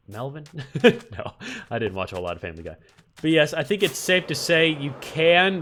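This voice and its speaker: A man's voice: medium pitch, a bit bright, slight background noise. A man with a somewhat nasal voice hosting a Minecraft let's-play, chatting casually and thinking aloud as he plays, with frequent ums and restarts. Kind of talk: casual gameplay commentary.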